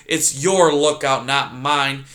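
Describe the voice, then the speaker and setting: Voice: medium pitch, takes it slowly, a bit bright. A reader voicing a grumpy old male porcupine who bellows scornful insults, in a storybook reading.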